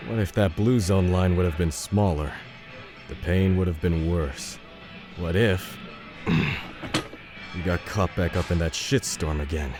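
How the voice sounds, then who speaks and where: A man playing a big, gruff but supportive ex-military trainer in an audio drama. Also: low-pitched. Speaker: military veteran and coach. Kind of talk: audio fiction dialogue.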